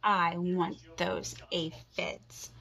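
A woman voicing a shouting grouchy ladybug. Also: medium pitch, expressive, a little slow. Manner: loud, shouting and screeching lines. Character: grouchy boastful female ladybug picking fights.

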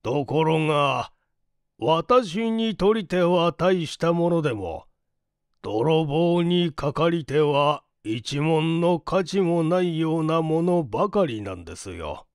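A man reading a mystery story as a narrator, in a measured, polite and formal delivery. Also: fairly high pitch, lively intonation, clean tone, no room sound, clean studio sound. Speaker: audiobook narrator, stage actor. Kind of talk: dramatized literary reading, mystery novel.

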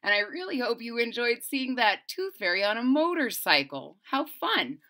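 A woman's voice: a little high, big pitch swings, normal speed, pushed and bright. A middle-aged woman reading expressively to children, theatrical and emphatic, drawing out key words. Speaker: children's story reader. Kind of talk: expressive read-aloud storytelling for children. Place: small room at home.

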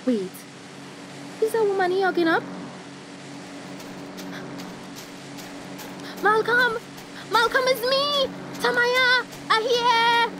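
A woman's voice: extremely high pitch, bright, forceful tone, a little hiss or hum, exaggerated voice, low-quality recording. A young woman with a Tobagonian accent acting a headstrong, lovestruck, anxious character in a radio drama. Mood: desperate.

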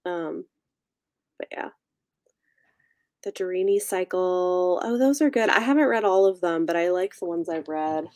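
A young woman in casual book chat on a livestream, informal and halting as she thinks aloud. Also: lowish voice, darkish tone, no echo, clean studio sound. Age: young adult. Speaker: book discussion co-host. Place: cosy room with bookshelves at home, indoors. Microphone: desk microphone on a video call.

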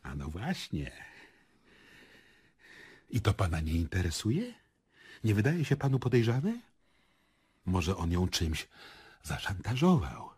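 A man's voice: deep voice, huge pitch swings, takes it slowly, pauses often, a little mellow, slightly rough, exaggerated voice. An actor playing an indignant, defensive male murder suspect in a radio play, scornful and incredulous. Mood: insinuating, scornful.

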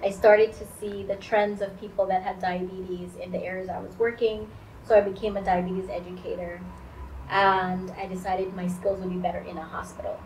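Animated woman from Guyana, lapel mic. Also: mid-range voice, moderate pace, slight background noise, low-quality recording. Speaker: interview guest. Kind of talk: conversational interview storytelling. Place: indoors against a pale wall.

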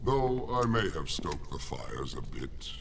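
Very deep, haughty war god. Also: male voice, big pitch swings, slow, creaky, background noise, exaggerated voice. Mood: haughty, sly. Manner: grandiose, formal wording. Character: brooding male war god, proud and scheming. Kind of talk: cinematic game dialogue.

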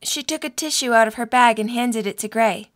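A woman's voice: a little high, a little fast. A young adult woman reading scripted story narration for an audio drama.

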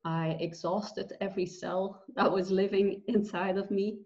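A woman's voice: medium pitch, fairly even intonation, darkish tone. Earnest woman on a dull video call. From Belgium. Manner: earnest, reflective, with many pauses and fillers. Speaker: guest. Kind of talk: personal story in a video call interview. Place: bright room at home. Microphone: video call webcam.

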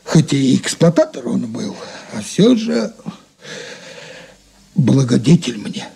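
Slow, husky, humble old-time servant. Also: male voice, medium pitch, sing-song, mellow, heavily acted. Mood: humble, pleading. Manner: rambling, folksy, deferential. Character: humble, folksy older shop servant, rambling and mournful. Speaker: actor in a radio play. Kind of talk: 1980s Soviet radio drama acting.

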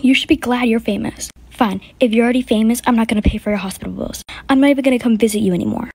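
Woman as fame-hungry mom: high, pushy, scolding. Homemade skit. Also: very fast, darkish tone, slightly rough, no echo. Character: fame-hungry mother, pushy and self-centered.

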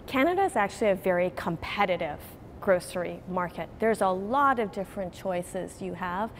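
A woman's voice: fairly low pitch, expressive, moderate pace, a little hiss or hum. A woman in her forties, a grocery chain executive, speaking earnestly and animatedly in a TV news interview inside a store.

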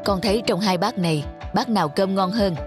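A woman's voice: medium pitch, brisk, close to an everyday voice. A woman voicing a patient but firm young father in a children's cartoon, speaking in an earnest, explanatory manner. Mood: patient, instructive. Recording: music behind the voice. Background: background music.

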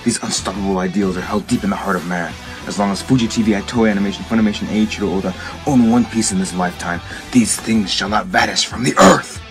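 A man's voice: fairly low pitch, fast talker, few pauses, close to an everyday voice. A man performing a solemn, grandiose narrator for an anime parody, in scripted dramatic narration. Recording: music behind the voice.

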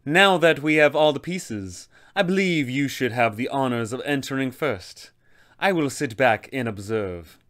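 Undead elf sorceress, female villain for fantasy tabletop game male performer: haughty, menacing, slow and taunting. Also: mid-range voice, animated, a bit bright. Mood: calculating, commanding. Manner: slow, taunting, imperious delivery.

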